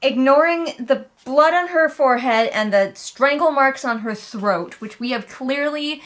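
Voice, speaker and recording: A woman's voice: high voice, a little slow, fairly bright tone. A woman giving casual, comedic book commentary as host, with an exasperated, sarcastic and emphatic way of talking. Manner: acts out characters in mocking asides. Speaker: host of a book readalong vlog.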